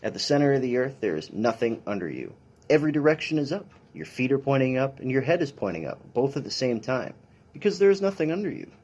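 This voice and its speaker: A man's voice: medium pitch, sing-song, fast, a little mellow, breathy edge. A man, a police officer, reading a children's science picture book aloud in an instructional way with brief dry asides.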